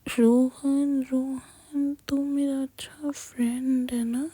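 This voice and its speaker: A woman whispering an intimate girlfriend roleplay, speaking softly and directly to the listener up close to the mic. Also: high-pitched, flat delivery, extremely slow, breathy edge, dry recording.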